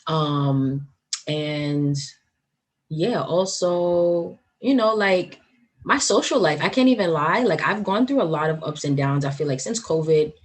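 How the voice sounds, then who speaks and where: Husky low-voiced woman podcast host. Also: a little slow. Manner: chatty, upbeat, lots of "like" and "um". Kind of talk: casual podcast chat.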